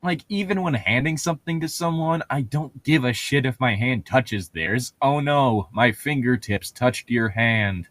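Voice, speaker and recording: A man's voice: mid-range voice, unhurried, few pauses, fairly bright tone, no room sound. A man reading aloud casually and breaking off for sarcastic, sweary commentary as co-host of a comedic read-along.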